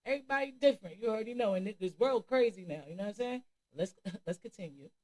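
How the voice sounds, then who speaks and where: A woman's voice: medium pitch, lively intonation, quick pace, darkish tone. A woman hosting a reaction channel, talking casually and emphatically to viewers in a streetwise, conversational way. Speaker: commentary channel host.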